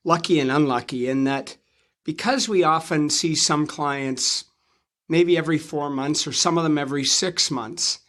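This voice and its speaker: A man in his fifties with a fairly high voice for a man talks money matters in an easy conversational way. He is measured and thoughtful, often stopping to rephrase before going on. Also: a bit bright. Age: 50s. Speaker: financial advisor co-host. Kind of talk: conversational interview.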